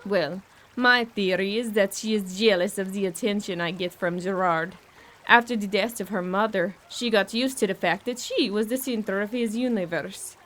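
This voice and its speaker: A woman's voice: medium pitch, normal speed, a bit bright, natural voice, low-quality recording. A young woman acting a blunt, resentful au pair in an audio horror drama. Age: young adult. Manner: blunt, complaining.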